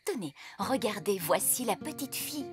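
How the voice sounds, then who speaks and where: A woman's voice: medium pitch, animated, normal speed, natural voice. A woman playing a bossy, fussy fairy nanny in a children's cartoon, speaking in a theatrical, instructive way. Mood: instructive, cautious. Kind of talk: animated children's cartoon acting. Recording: music behind the voice.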